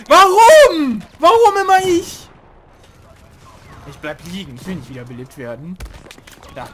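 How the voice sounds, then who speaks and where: A young man hosting a casual let's play, chatting and grumbling loudly about teammates as he plays. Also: sits high, noisy background. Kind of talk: casual gaming commentary.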